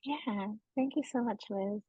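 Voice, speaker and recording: A woman from Nigeria speaking in a relaxed, wry way in casual conversation, over a dull, dry, lo-fi video call. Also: mid-range voice, unhurried, breathy edge, dry recording. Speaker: guest speaker.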